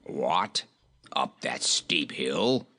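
A narrator voicing a gruff, sulky talking male goat from a storybook, growling curt complaints. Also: extremely low pitch, big pitch swings, extremely slow, bright, forceful tone, voice pushed down, full character voice. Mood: sulky, defiant.

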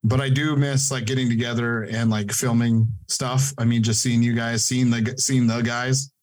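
A man's voice: medium pitch, flat delivery, moderate pace, darkish tone. A man in his thirties co-hosting a casual podcast, joking and teasing his friends with plenty of swearing. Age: 30s. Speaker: podcast co-host.

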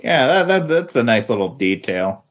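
A lo-fi, slightly muffled recording of a man with an average-pitched voice. He chats casually about comic books as a co-host, agreeable and a little hesitant in how he talks. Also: very animated, normal speed. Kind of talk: casual comic book chat. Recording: dull, lo-fi audio.

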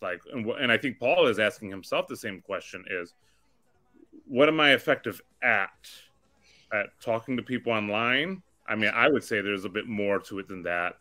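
A man with a bright, forceful voice talks through his thoughts in a casual conversation. He asks probing questions and works out his ideas as he goes. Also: on the low side, normal speed. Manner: questioning, thinking aloud.

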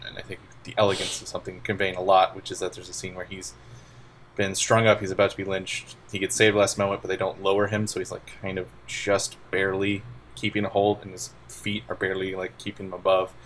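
A man's voice: low-pitched, fairly bright tone, a little hiss or hum, low-quality recording. A man co-hosting a casual film review podcast, talking in long, winding analytical turns from his computer at home. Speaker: podcast co-host.

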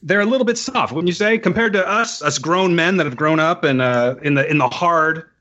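Man in his forties, rapid speech, bright tone, teasing mock-grand manner, dull call audio. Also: fairly high pitch. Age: 40s. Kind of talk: casual chat.

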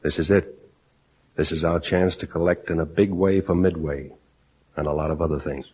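An actor playing a tough, commanding wartime navy squadron leader who gives clipped, slangy orders in 1940s radio style. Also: male voice, very deep voice, fairly even intonation, moderate pace, a little mellow. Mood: resolute. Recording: dull, noisy old radio recording.

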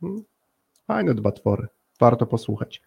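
Mężczyzna prowadzący na żywo swobodną rozmowę z gośćmi, gadatliwy, chętnie powtarzający słowa dla podkreślenia. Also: male voice, medium pitch, big pitch swings, a little slow, gravelly, a little mellow, husky. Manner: chatty, repeats phrases for emphasis. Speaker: host of a live talk show with guests. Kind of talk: casual, friendly co-hosted chat.

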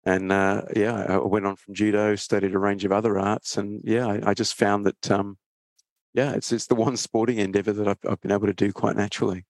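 A man with an Australian accent, talking casually as an interview guest, often restarting his phrases haltingly. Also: lowish voice, level tone, dry recording. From England, then Australia from age thirteen. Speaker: interview guest, trading educator.

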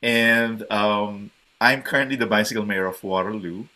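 A man's voice: lowish voice, moderate pace, a crowd in the background. A man in his forties from the Philippines, a guest chatting casually in an interview, hesitant with many fillers and restarts.

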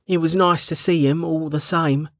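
A woman's voice: low-pitched, fairly even intonation, normal speed, no room sound, studio quality, voice pushed up. A narrator voicing Bregger, a dutiful and anxious female guard who speaks carefully and earnestly.

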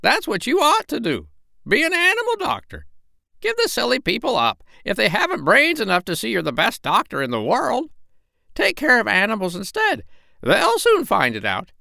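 Man putting on a very shrill, bossy female parrot. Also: wildly swooping pitch, moderate pace, vocal fry, bright, forceful tone, no room sound, studio quality, heavily acted. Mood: urgent, bossy. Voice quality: shrill, high voice. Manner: emphatic, instructing. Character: bossy, clever old female talking parrot. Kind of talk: children's storybook character voice.

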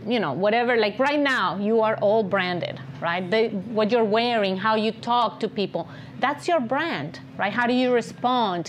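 Woman, foreign accent, fairly high voice, motivational speaker, conversational, seeks agreement often, faint background noise. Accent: non-native English accent. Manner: animated encouragement, often checks in with "right?". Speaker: guest speaker, agency founder and CEO. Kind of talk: conversational motivational business talk.

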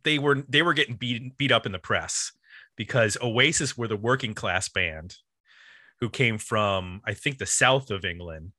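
A man's voice: on the low side, unhurried, pushed and bright, no echo, clean studio sound. A man hosting a music podcast, casually explaining the history of an album in an informal, loosely structured way.

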